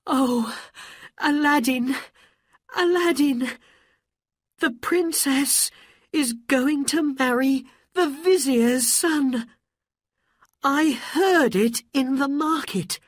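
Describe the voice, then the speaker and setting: A woman's voice: medium pitch, big pitch swings, extremely slow, slightly rough, no echo, studio quality, pitched above the performer's own voice, heavily acted. A woman voicing a worried poor mother who pleads earnestly for her son in a storybook reading. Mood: upset.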